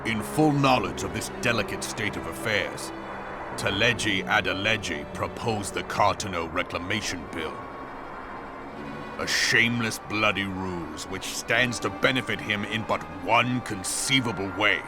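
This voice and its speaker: Guy doing a stern old-school general, formal, deep-ish voice, game cutscene with music behind. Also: a little slow, lightly acted. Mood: grave. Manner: grave, formal, old-fashioned speech. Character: stern seasoned male general, blunt and formal. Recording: music score underneath.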